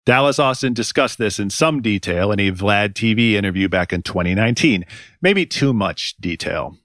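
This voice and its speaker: A man hosting a music podcast, reading scripted commentary in a dry, wry, deadpan way. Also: on the low side, moderate pace, dry recording. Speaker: podcast host.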